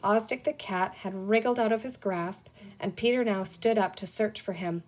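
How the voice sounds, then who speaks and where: A woman's voice: medium pitch, normal speed, darkish tone, poor audio. Woman reading a children's story. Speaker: audiobook reader.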